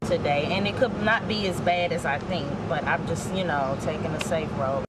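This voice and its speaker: A woman's voice: low-pitched, normal speed. A woman speaking spontaneously as a parent in a news interview, recorded outdoors. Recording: very loud outdoor traffic noise.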